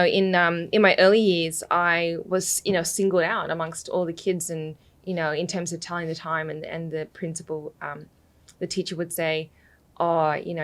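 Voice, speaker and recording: Chatty Australian woman, slightly low voice. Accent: Australian, slightly mixed with British. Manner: chatty, laughs often, many "you know" fillers. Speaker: podcast guest. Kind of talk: casual interview chat.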